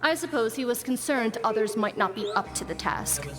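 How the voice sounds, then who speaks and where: An actress playing a poised, polite escort officer in a video game, formal and lightly teasing. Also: female voice, fairly high pitch, very animated, moderate pace. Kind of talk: game dialogue acting. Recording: loud game music behind.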